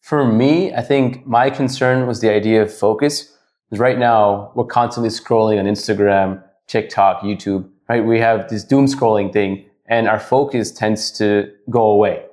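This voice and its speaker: A man's voice: fairly low pitch, fairly even intonation, normal speed, darkish tone. A man in his twenties speaking earnestly on a podcast, stumbling a little over words. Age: 20s. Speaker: podcast guest. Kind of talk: casual podcast chat.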